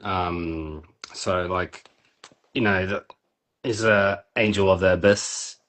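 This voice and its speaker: A man's voice: low voice, steady tone, slow pace, a bit bright. A man chatting casually and informally in a laid-back way. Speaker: poet and panel participant in a live reading. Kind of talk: casual chat.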